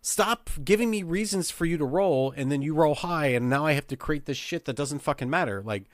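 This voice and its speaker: A man's voice: a little high, lively intonation, a little fast, a little hiss or hum. A man telling casual game master anecdotes, swearing and acting out the dialogue as he goes. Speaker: podcast panelist and game master.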